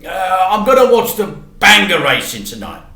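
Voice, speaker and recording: Storybook father, acted by a man: harried, evasive, matey yet insistent, raised forceful voice with lively pitch. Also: moderate pace, gravelly, reverberant, full character voice. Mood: pleading, impatient. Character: harried, evasive working-class father putting son to bed. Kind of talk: children's storybook read aloud.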